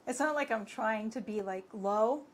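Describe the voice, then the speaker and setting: A woman with a rather high but soft, low-volume voice that never gets loud. She chats hesitantly and giggles often, and faint noise hums behind her. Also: normal speed. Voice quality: low, soft voice that cannot project. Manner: giggly, hesitant, with many fillers. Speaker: co-host and craft seller. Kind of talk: casual live-stream chat.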